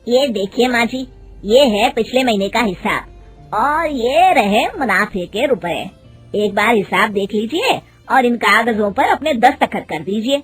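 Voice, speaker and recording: A woman's voice: fairly high pitch, lively intonation, a little slow, soft background music. A woman voicing a dishonest male manager in a cartoon family story, deferential and ingratiating. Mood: businesslike, obliging.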